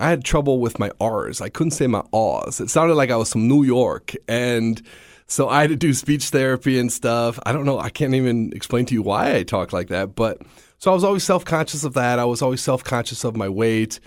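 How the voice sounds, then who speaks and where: Man, slightly scratchy voice, chatty, self-deprecating, dry close mic, medium pitch. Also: expressive, fairly quick, no echo. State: slightly scratchy voice after a long day. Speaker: stand-up comedian and guest. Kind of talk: casual interview chat.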